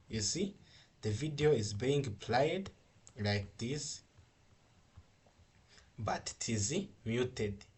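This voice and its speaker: A man who is a non-native English speaker, giving an informal software tutorial in a halting, repetitive, step-by-step way. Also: mid-range voice, breathy edge. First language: not English. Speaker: coding tutorial instructor.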